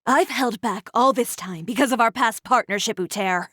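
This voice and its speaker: An actress playing a stern, self-assured young fashion designer in a video game, composed and blunt. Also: female voice, a little high, moderate pace, a bit bright, dry recording, clean studio sound. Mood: stern resolve.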